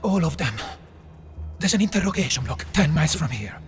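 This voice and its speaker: A man's voice: fairly high pitch, very animated, brisk, background noise. A man playing an anxious, earnest wartime partisan in a video game, urgent and emotional. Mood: urgent, worried. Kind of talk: cinematic video game acting.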